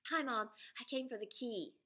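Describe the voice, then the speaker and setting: An eager young schoolboy from a children's storybook, played by a woman reading aloud. The voice is bright and a little lifted, with brisk, polite delivery. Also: fairly high pitch, pitched above the performer's own voice.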